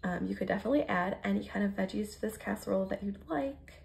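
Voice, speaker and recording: An American woman in her thirties talks casually through home cooking. Her mid-pitched voice has a lot of fry in it. She explains each step in a chatty, informal way. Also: fast, a little mellow. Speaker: home cook vlogger. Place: home kitchen, indoors.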